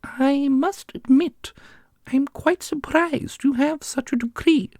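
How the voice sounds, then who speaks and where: Casting: doting fantasy custodian, theatrical and fussy, very high breathy falsetto. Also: male voice, slow pace, a little mellow, dry recording, clean studio sound. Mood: surprised. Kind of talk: ASMR fantasy roleplay.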